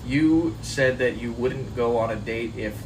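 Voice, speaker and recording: A man's voice: medium pitch, unhurried. American guy chatting easy, lots of ums, sounds far off with hum behind. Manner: relaxed, hesitant, amused. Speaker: interviewee. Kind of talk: casual chat. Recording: distant mic with background hum.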